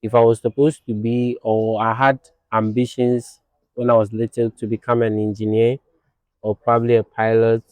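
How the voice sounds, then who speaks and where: A young man from Ghana, born in Nigeria, a fashion designer speaking as a guest in a casual interview, soft-spoken and reflective. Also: mid-range voice, flat delivery, normal speed, smooth, no echo.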